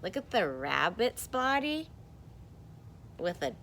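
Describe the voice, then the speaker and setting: Slow, animated woman teaching young children. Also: mid-range voice, a little hiss or hum. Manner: expressive, asks questions and pauses for answers. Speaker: kindergarten teacher. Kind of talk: read-aloud lesson to young children.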